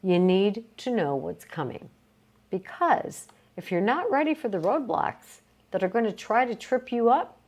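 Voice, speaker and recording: A woman reading a motivational self-help book aloud, with emphatic, deliberate phrasing and short pauses. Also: mid-range voice, big pitch swings, darkish tone. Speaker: reader of a book read aloud.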